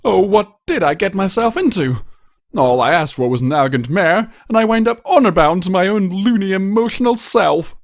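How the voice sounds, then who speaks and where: A man acts out a haughty prince pony in a story. He is pompous and melodramatic, with raised, swooping pitch. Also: lively intonation, moderate pace. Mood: self-pitying.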